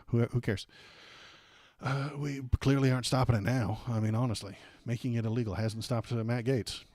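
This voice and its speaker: An American man hosting a casual political livestream, rambling and halting with repeated words, irreverent and sarcastic as he chats with viewers. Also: medium pitch, fairly even intonation, a little mellow, no echo. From United States. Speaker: livestream host. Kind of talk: casual political commentary, chatting with live viewers.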